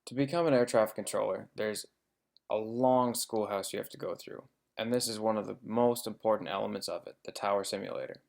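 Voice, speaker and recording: A man with a fairly low voice and a heavy creak walks through an informative slide talk. He explains things in plain, practical terms, like someone who does the job himself, with a little dry humour. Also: brisk, darkish tone. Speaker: air traffic controller giving a presentation.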